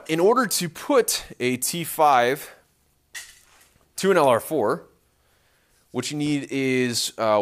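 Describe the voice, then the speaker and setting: Male workshop vlogger, thirties, medium-pitched voice, casual and technical with dry humor, recorded on a handheld camera. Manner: offhand, dryly joking technical explaining. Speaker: host of a car restoration vlog. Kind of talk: casual unscripted workshop talk. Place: large cluttered indoor garage workshop.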